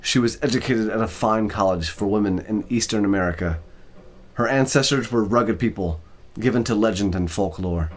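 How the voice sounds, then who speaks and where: Man acting an earnest, formal young gentleman. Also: fairly low pitch, moderate pace, close to an everyday voice. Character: earnest, devoted young gentleman, loyal son. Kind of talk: storybook reading with character voices.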